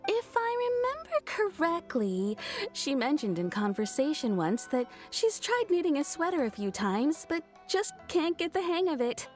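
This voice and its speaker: An actress playing a friendly, polite fabric shop owner in a video game, eager to help. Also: female voice, high-pitched, big pitch swings, moderate pace, faint music behind. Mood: helpful, pleased. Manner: polite, helpful. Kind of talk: scripted video game voice acting.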